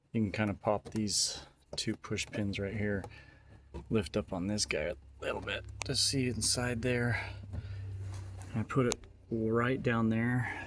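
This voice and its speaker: A man narrating a casual how-to as an installer, explaining informally step by step while he works. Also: mid-range voice. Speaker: installer giving a tutorial. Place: in and around a utility vehicle in a garage or shop. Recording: noisy workshop ambience behind voice.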